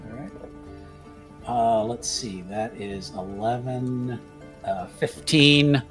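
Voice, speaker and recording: A man's voice: medium pitch, takes it slowly, fairly bright tone, soft background music. A man taking part as a player in a tabletop game, talking casually and hesitantly. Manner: hesitant, lots of fillers.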